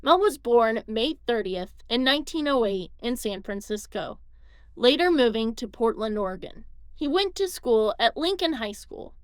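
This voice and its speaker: A woman's voice: on the high side, lively intonation, normal speed, no echo. A woman reading scripted documentary narration as the narrator.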